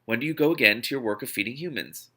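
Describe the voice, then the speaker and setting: A man voicing a calm, formal vampire in a novel reading, slow, patient and measured. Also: medium pitch.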